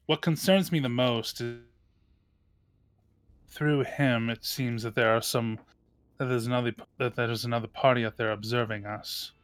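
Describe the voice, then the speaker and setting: A man playing a cautious, uneasy female elf warrior in a tabletop game, with measured, formal, deliberating speech. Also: mid-range voice, steady tone, natural voice. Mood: uneasy, pensive.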